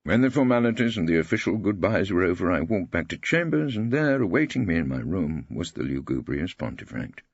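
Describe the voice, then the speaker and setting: An English man narrating a first-person story as an audiobook, in a wry, expressive manner. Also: deep voice, brisk, vocal fry, hardly any pauses, husky, no room sound, highs cut off.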